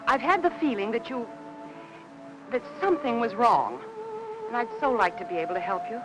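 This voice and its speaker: An actress playing a kindly, solicitous neighbor woman in a mid-century film noir, speaking in a gentle, concerned way. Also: on the high side, expressive, brisk, music in the background, natural voice, poor audio.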